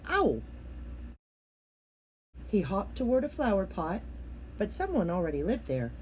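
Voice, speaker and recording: A woman reading a children's picture book aloud as an expressive storyteller. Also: mid-range voice, a little slow, a little hiss or hum, poor audio. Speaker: storybook reader.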